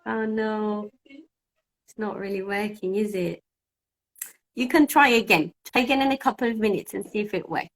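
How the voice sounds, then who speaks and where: A woman's voice: medium pitch, moderate pace, pauses often, low-quality recording. A woman from Cyprus whose first language is Greek, an English teacher hosting a casual live-stream chat and thinking aloud as she sorts out technical trouble. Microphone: phone.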